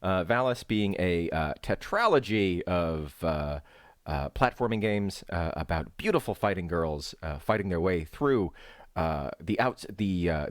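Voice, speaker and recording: A man giving casual, rambling game commentary as a streamer, halting and hesitant, with dry, wry remarks. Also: sits low. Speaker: speedrunner and streamer, host. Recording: roomy echo, faint game music behind.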